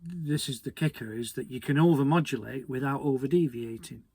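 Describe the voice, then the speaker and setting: A British man giving an informal technical explainer in a conversational, hesitant way, often repeating words, with a husky, gravelly voice. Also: medium pitch, a little fast, a little mellow, no echo. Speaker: hobby radio presenter.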